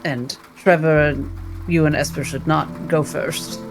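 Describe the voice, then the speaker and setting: A man takes part in a tabletop roleplaying game as a player, moving between description and in-character speech. His voice sits fairly high, with music clearly behind it. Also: steady tone, a little slow.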